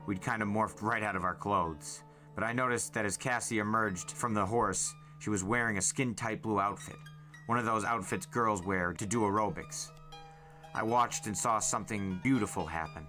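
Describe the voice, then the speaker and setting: Low, bright-voiced male storyteller. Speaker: storyteller, podcast host. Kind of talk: dramatic first-person audiobook narration. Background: faint music.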